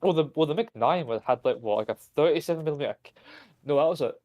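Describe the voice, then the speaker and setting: A man's voice: fairly high pitch, lively intonation, racing, dull audio. A young man streaming a game, rambling in casual chat with frequent swearing and restarts. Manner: rambling, profane, full of restarts.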